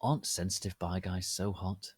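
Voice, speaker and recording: A man giving a theatrical, deliberate dramatic reading of fan fiction as its narrator. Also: sits low, fairly even intonation, breathy edge, dry recording. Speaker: narrator, reader.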